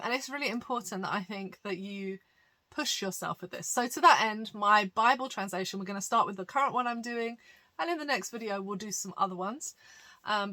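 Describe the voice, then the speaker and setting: Bright-voiced woman pastor, chatty. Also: medium pitch. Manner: chatty and explanatory, many fillers, light laughs. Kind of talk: conversational teaching monologue.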